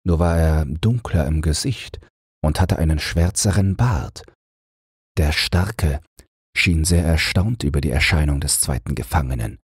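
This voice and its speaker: Male fairy-tale narrator for bedtime audiobooks: deep register, slightly rough grain, scripted storytelling. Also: a little slow, dry recording, clean studio sound.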